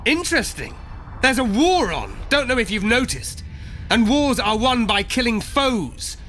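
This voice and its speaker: A man's voice: extremely high pitch, big pitch swings, unhurried, bright, forceful tone, exaggerated voice. An actor playing a hostile, menacing, sarcastic male elf guerrilla in a video game. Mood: mocking. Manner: mocking, sarcastic, commanding. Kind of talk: video game character acting. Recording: faint outdoor ambience noise.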